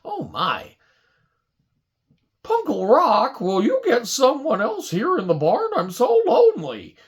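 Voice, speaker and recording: Children's puppet show horse, played by a woman: lonely, plaintive male, excitable, drawn-out delivery with big pitch swings. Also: breathy edge, full character voice. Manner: pleading, plaintive.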